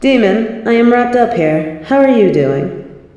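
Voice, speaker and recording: A woman's voice: fairly high pitch, lively intonation, measured pace, warm tone, heavy reverb. A woman voicing a haughty fallen angel in an audio drama, imperious and pompous with archaic formal diction, yet fond of her demon companion. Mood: brisk, imperious.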